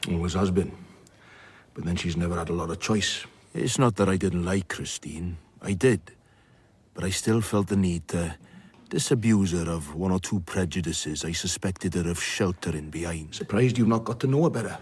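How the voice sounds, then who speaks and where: Wry blunt doctor, low rough husky voice, deliberate, radio play storytelling. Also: male voice, a little mellow. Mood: needling. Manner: wry, deliberate, plain-spoken. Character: sardonic, worldly, blunt male doctor and storyteller. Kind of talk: radio drama acting with narration.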